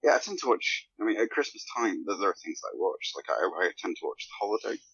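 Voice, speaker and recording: A man's voice: sits low, sing-song, fast, fairly bright tone, husky, lo-fi sound. A man chatting casually as a podcast guest, rambling a little hesitantly.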